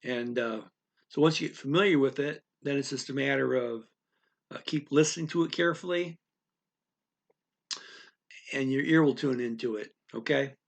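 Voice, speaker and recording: A man's voice: medium pitch, normal speed, gravelly, long pauses, lo-fi sound. A man giving a casual, rambling language lesson, speaking straight to the learner and checking in with frequent okays. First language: English. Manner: rambling, restarts often, speaks directly to the learner with frequent "okay?". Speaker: language teacher.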